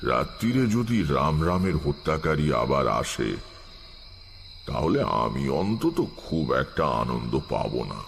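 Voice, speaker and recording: A man narrating an eerie audio story as a grave first-person storyteller, with a deliberate, suspenseful delivery. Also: extremely low pitch, very animated, gravelly, slight background noise, heavily acted. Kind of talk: atmospheric scripted horror-story narration. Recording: night insect ambience behind.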